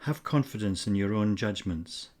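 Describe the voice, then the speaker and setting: A man narrating a scripted guided meditation in a measured, instructional way with pauses between phrases. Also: lowish voice, animated, darkish tone.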